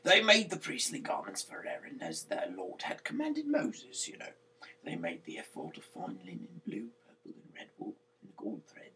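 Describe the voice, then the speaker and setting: A man with a breathy, gravelly half-whisper reads scripture aloud informally, haltingly, dropping in chatty asides. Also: medium pitch, wildly swooping pitch, pushed and bright, distant mic. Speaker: singer reading the Bible aloud. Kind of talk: informal Bible reading aloud.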